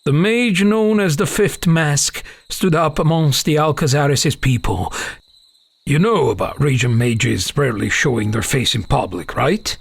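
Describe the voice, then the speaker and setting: Man, fantasy audiobook narrator, solemn dramatic storytelling, gravelly, very dry recording. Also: fairly high pitch, animated, a little slow, dry recording.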